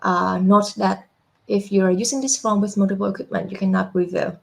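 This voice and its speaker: A young adult woman walking through a software demo step by step, unhurried, with frequent fillers and self-corrections. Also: mid-range voice, flat delivery, creaky. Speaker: software product presenter. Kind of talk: screen-share software demo walkthrough.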